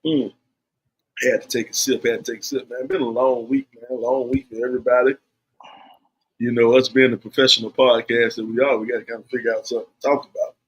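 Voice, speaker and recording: A man hosting a casual podcast, teasing his friends and spinning drawn-out jokes. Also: medium pitch, husky, low-quality recording. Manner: playful, teasing, drawn-out storytelling. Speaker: podcast host. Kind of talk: casual joking banter.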